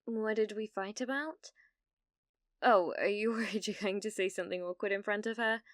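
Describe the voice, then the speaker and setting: Woman acting a needy delusional gamer girl, British accent, clear smooth voice, very dry close sound, hesitant stammer, nervously chirpy, ASMR roleplay. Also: medium pitch, moderate pace, no room sound, lightly acted. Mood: casual, chatty. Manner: hesitant, stammering, nervous giggles, blithely casual about dark things.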